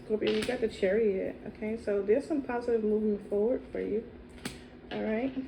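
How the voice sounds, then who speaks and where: A woman with a low voice, doing a casual tarot reading in a soft, musing way and repeating phrases as she thinks. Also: steady tone, a little fast, gravelly, a little hiss or hum, low-quality recording. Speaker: tarot card reader.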